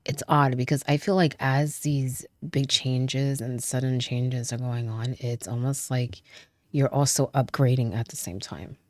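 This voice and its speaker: A woman giving a casual tarot reading, chatty, with frequent fillers, trailing pauses and light laughs, and sounding stuffy from a cold. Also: very deep voice, steady tone, no echo. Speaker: tarot reader.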